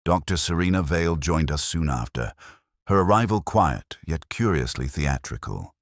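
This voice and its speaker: Guy reading a detective story, super deep voice, dry close sound, formal and measured. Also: lively intonation, no echo. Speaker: narrator. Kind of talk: scripted first-person mystery narration.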